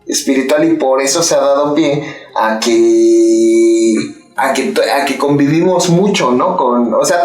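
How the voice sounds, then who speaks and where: A man's voice: medium pitch, distant mic. Mexican man musing in an echoey room. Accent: Mexican Spanish. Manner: reflective, drawn out with "¿no?". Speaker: podcast co-host. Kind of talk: casual chat.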